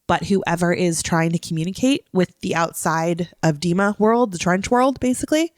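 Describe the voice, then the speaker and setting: A woman with a low voice and a blocked nose from a cold, talking casually on a podcast. She explains things patiently and laughs often. The sound is dry and close. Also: moderate pace, no room sound. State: stuffy, congested with a cold. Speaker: podcast co-host. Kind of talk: casual chat.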